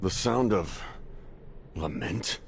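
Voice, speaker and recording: An actor playing a stern, honorable military commander with a deep, gravelly voice in a dramatic video game. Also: male voice, expressive, slow, noisy background, full character voice. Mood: stern, confrontational.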